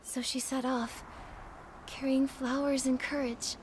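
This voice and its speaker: Earnest high-voiced young game heroine. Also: female voice, level tone, measured pace, slight background noise, rough recording. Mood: sympathetic. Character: earnest, kind young heroine girl, curious and determined. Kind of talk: video game story dialogue. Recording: background music under the voice.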